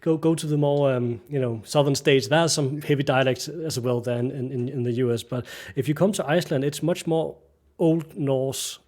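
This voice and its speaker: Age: middle-aged. Sex: man. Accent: Danish. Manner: halting, restarts often, searches for words. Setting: video call from a bright home room. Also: mid-range voice. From Denmark. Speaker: guest. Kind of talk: casual interview chat.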